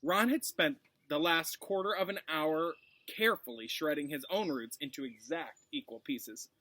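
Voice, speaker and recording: A man, a teacher, reading a storybook aloud in an expressive narrating style. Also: fairly high pitch, moderate pace, no room sound.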